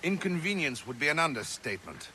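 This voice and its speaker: An actor playing a stern, resentful ship's captain in a video game, curt and scolding. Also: male voice, fairly high pitch, very animated, quick pace, sharp tone, slight background noise, exaggerated voice. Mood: bitter. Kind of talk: video game cutscene acting.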